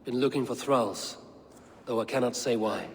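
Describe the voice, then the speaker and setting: A grim Viking warrior of a video game, voiced by a man, speaks at a middle pitch. His lines are measured, formal and terse, full of resolve. The sound carries a long reverb over faint background ambience. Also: slight background noise, lightly acted. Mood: grim, determined. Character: grim, resolute male Viking warrior hunting a secret order. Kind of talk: dramatic video game cutscene acting.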